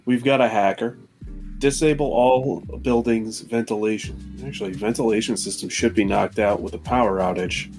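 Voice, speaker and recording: A man's voice: lowish voice, fairly even intonation, moderate pace, a little mellow, soft background music. A man playing a tabletop game, talking casually through plans with the group. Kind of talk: casual in-game planning banter.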